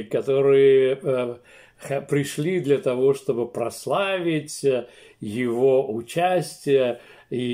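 An older man, a pastor, preaching a sermon slowly and emphatically from a small room, with pauses and restarts. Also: mid-range voice, darkish tone. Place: small room indoors, seated in armchair.

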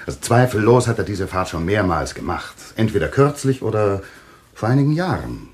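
Grave doctor, radio thriller. Deep, deliberate, insistent, expressive inflection. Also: male voice. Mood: explaining. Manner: deliberate, explanatory, emphatic. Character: composed, authoritative male doctor, grave and insistent. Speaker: actor as a doctor. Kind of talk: German radio thriller acting. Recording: slight background noise with clicks.

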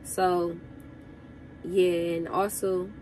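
A young woman truck driver giving casual advice to her viewers, sounding congested from a cold, chatty and relaxed. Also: lowish voice, extremely slow, slight background noise, low-quality recording.

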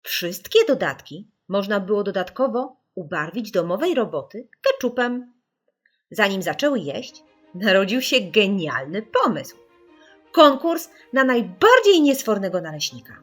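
Female narrator for kids' audiobook: expressive, very varied melody, mid-pitched, dramatic stress on key words. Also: a little slow, husky, faint music behind. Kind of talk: expressive scripted storytelling for children.

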